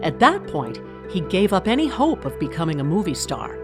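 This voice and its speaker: An animated woman narrator tells a story in polished, scripted documentary style. Her knowing delivery rises and falls freely over a clear music bed. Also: fairly low pitch, normal speed. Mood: knowing, storytelling.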